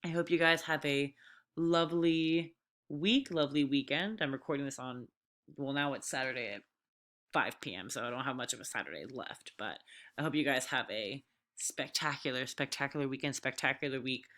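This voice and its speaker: A woman's voice: very deep voice, normal speed, a bit bright. A woman teacher reading aloud and explaining an assignment to her students in an informal, direct way.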